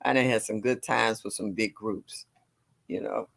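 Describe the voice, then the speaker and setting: A woman in her sixties from with a deep, low voice, chatting casually on a livestream, greeting viewers and laughing often. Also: fairly even intonation, measured pace, creaky. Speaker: livestream host. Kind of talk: casual chat reading viewer comments.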